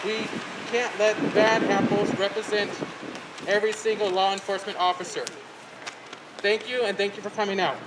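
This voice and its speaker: High-voiced man emceeing an outdoor rally. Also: little pitch movement, moderate pace. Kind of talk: introducing speakers. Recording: loud wind noise outdoors.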